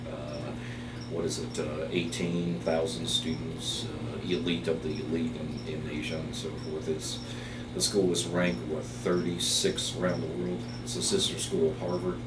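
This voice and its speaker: Deep-voiced man from Taiwan, hesitant. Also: monotone, distant mic. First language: Chinese. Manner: hesitant, many fillers, unhurried. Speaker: biology professor. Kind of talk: interview storytelling. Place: office. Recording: roomy, off-mic, with background hiss.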